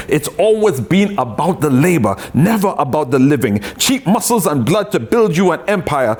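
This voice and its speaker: A poet from Trinidad with a Trinidadian accent, chatting loosely with many tag phrases and reading his own poetry. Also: high-pitched.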